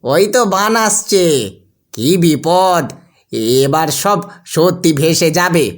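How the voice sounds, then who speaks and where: A man's voice: high-pitched, slow pace. A man voicing an anxious, chatty villager in a fairy-tale cartoon, speaking in a concerned, fussing way. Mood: alarmed.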